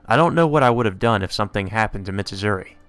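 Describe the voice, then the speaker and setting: A man's voice: fairly low pitch, lively intonation, racing, a bit bright. A man hosting a let's play, reading visual novel dialogue aloud in an informal way and adding asides. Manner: informal, slips into character voices. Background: video game music.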